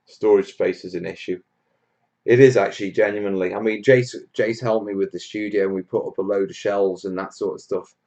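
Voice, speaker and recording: A man's voice: fairly low pitch, fairly even intonation, a little fast, darkish tone, dull audio. A man from Wales hosting a casual live Q&A stream, chatting to viewers in a blunt, jokey, matey way. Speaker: host of a hobby livestream.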